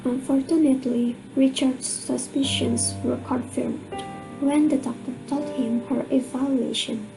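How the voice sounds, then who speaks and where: Radio drama narrator: woman, high creaky voice, scripted storytelling. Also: fairly even intonation, measured pace, poor audio. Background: music.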